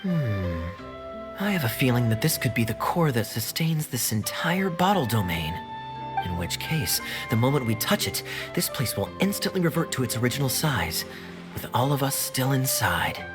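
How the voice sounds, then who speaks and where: A man voicing a suave, teasing young knight in a video game, with a smooth voice and a playful, wry manner. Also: medium pitch, sing-song, moderate pace, music in the background, close to an everyday voice. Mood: ominous teasing. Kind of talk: video game cutscene voice acting.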